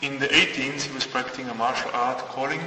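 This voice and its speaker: A man interpreting an interview into English, phrasing things haltingly and imperfectly. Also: mid-range voice, fairly even intonation, pushed and bright. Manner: halting, non-native phrasing. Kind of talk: consecutive interpreting. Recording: distant echoey hall with clear noise.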